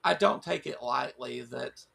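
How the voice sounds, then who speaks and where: A man's voice: medium pitch, lively intonation, measured pace, vocal fry, sharp tone. A man co-hosting a casual livestream chat, rambling with lots of restarts and fillers, losing his voice. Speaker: show co-host.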